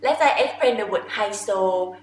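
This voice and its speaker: A woman's voice: medium pitch, normal speed. A young woman with a Thai accent, a native Thai speaker, teaching a language lesson to camera in simple, informal explanations. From Thailand. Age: young adult. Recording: echoey room with faint noise.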